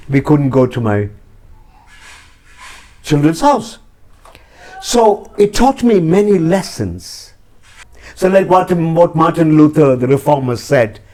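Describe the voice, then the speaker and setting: A man's voice: on the high side, mellow. An older man from India with an Indian English accent, giving earnest, reflective answers as a church representative in a TV interview.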